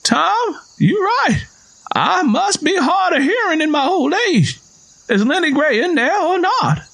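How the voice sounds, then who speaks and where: A man's voice: very high-pitched, very animated, measured pace, gravelly, a bit bright, pitched above the performer's own voice, exaggerated voice. A man voicing a stern, stubborn old Southern father in an audio story, speaking bluntly and insistently. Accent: American South. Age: older.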